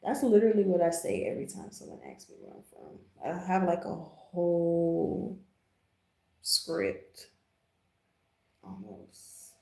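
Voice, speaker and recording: Casting: American female livestream host, relaxed mellow tone with lots of vocal fry, chatty and slangy, echoing room sound. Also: lowish voice, slow. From Jamaica, raised in the US. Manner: laid-back, slangy, chatty. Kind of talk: casual livestream chat. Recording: roomy, echoing livestream audio.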